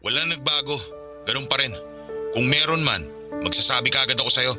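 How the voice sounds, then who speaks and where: A man's voice: medium pitch, brisk, over music, lightly acted. An actor playing a firm, exasperated young father in a radio drama, curtly refusing his former partner. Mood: curt, guarded. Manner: curt, firm.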